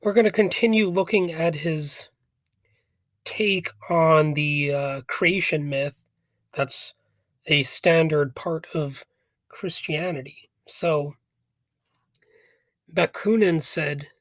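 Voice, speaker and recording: Male podcast host, raspy from a cold, slow and rambling, dry close sound. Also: fairly high pitch, fairly even intonation, no room sound. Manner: rambling, hesitant, jokey asides. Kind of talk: casual commentary on a philosophy text.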